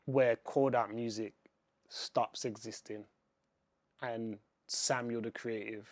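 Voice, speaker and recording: A man's voice: mid-range voice, steady tone, takes it slowly, a little mellow. A British man hosting a casual interview, laid-back and teasing with short replies. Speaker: podcast host.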